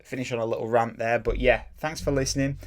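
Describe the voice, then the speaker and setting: A man, a fitness coach, talks casually on his own podcast in a plain, even voice, rambling and correcting himself as he goes, and sometimes slipping into a mild rant. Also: medium pitch, fast. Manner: rambling, self-correcting, drops into mild ranting. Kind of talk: casual solo podcast talk.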